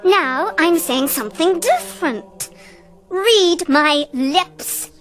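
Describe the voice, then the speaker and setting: Very high-pitched imperious cartoon princess. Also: female voice, animated, slow pace, over music, heavily acted. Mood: imperious, impatient. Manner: commanding, mock-sweet. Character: cute imperious princess, spoiled, cruel and demanding. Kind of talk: video game cutscene acting.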